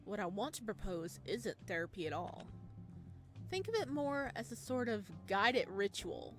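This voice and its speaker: A woman voicing a calm, probing male therapist in a visual novel, measured and clinical, with a quietly ominous temper. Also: mid-range voice. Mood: calm, insistent. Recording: music playing behind the voice.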